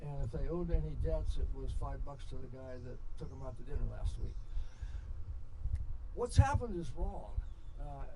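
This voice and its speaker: An older American man telling stories live, rambling and halting, with impassioned digressions and salty language. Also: medium pitch, lively intonation. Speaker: storyteller and speaker at a festival. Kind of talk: rambling live storytelling to an audience. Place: live storytelling session at a festival. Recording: noisy live event ambience.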